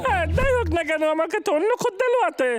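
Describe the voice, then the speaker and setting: Super high squeaky boy bear, childish braggy whiny cartoon detective, loud music behind. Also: expressive, fast. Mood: self-important. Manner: boastful, whiny, pleading, childlike. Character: excitable childish cartoon bear, self-declared detective, male. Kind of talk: animated children's cartoon dubbing.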